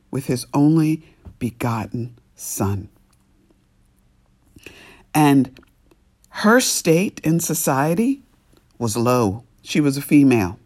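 A man preaching a sermon as pastor, sounding a little sniffly, and speaking in deliberate, emphatic phrases broken up by pauses. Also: mid-range voice, a little mellow.

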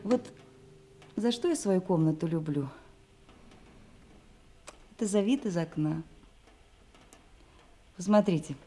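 A woman's voice: on the low side, warm tone, slightly rough. An actress playing a sensitive, anxious woman shyly in love in a Russian radio play, impulsive and emotional. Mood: wistful.

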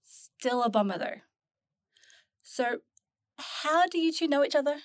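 A blunt, sarcastic young girl in a fantasy audio drama , acted by a woman. The voice is high and swings widely in pitch. The delivery is jittery and informal, with things blurted out. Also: lively intonation, unhurried, no room sound, clean studio sound. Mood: amused, curious.

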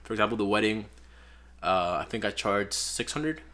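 A man's voice: on the low side, normal speed. A man in his twenties from Peru, a photographer chatting casually in a podcast interview, rambling with lots of "like" fillers. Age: 20s. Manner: relaxed, rambling, many "like" fillers. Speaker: photographer guest.

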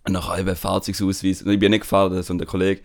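A man's voice: sits low, very fast, vocal fry. Slangy young Swiss German man. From Switzerland. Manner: relaxed slangy storytelling with frequent fillers. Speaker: podcast host. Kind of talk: casual chat.

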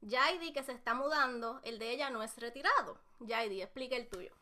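Bright high-voiced woman co-host. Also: fairly quick. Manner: chatty, explaining to listeners. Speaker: podcast co-host.

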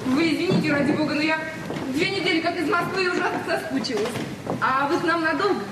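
A woman's voice: sits high, quick pace, a crowd in the background, lightly acted. An actress playing a gushing, flighty twenty-year-old drama student, girlish and coaxing, in a 1970s Soviet radio drama. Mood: delighted, gushing. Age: young adult. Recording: noisy old radio recording, reverberant, distant.

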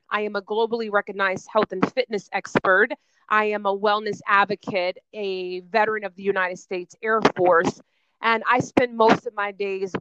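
A woman answers interview questions in an emphatic, self-assured voice. Her tone is smooth and resonant. The sound is dry and a little dull, with no room around it. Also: mid-range voice, fairly bright tone, no room sound. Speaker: guest, fitness and wellness coach.

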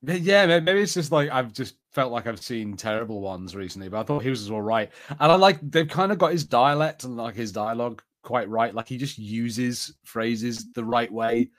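A man's voice: mid-range voice, moderate pace, few pauses, a bit bright, no echo, studio quality. A man with an English accent co-hosting a podcast, talking casually in a film and TV review discussion. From England. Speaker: podcast co-host.

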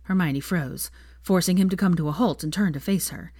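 A woman reading fan fiction aloud as expressive, scripted story narration. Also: on the low side, a little fast, husky, dry recording, studio quality.

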